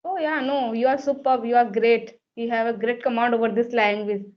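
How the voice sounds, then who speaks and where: A woman's voice: on the high side, steady tone, fairly quick. A woman from Uttar Pradesh with a North Indian accent, a native Hindi speaker, chatting in learner English and often repeating herself. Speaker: English learner and conversation partner. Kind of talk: casual video-call conversation. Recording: muffled lo-fi call audio.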